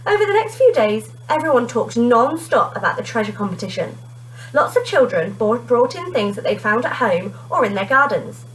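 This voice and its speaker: Lady teacher reading a storybook to kids, swoopy highish voice, bit of background hum. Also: very animated, normal speed, poor audio. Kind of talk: expressive children's storybook narration.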